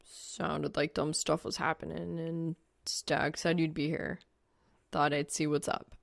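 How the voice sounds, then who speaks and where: A woman reader puts on an awkward young male gamer, a little lowered in pitch and creaky. He is laconic and deadpan, hesitant and low-key, an audiobook character heard dry and close. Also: a little slow, no echo, exaggerated voice.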